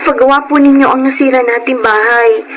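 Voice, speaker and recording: A woman's voice: high-pitched, steady tone, a little fast, noisy background, lightly acted. A woman playing an ambitious, defiant young Filipina in a radio drama, with melodramatic, emphatic delivery. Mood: pleading. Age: young adult.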